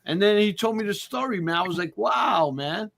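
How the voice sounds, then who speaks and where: A man who calls himself a boxing historian, talking excitedly in a group chat, stammering and swearing freely. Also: high-pitched, moderate pace, gravelly.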